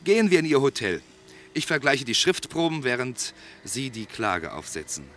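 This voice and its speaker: An actor playing a courteous, dryly teasing police investigator in a German radio play, questioning insistently with irony. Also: male voice, medium pitch, big pitch swings, moderate pace, a bit bright, slight background noise. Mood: businesslike, probing. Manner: insistent, drily ironic questioning.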